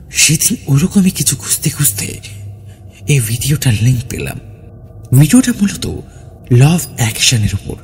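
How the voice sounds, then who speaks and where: A man's voice: a little high, darkish tone, a little hiss or hum, lightly acted. A man voicing a self-assured, obsessed young man who explains earnestly and persuasively in an audio horror story.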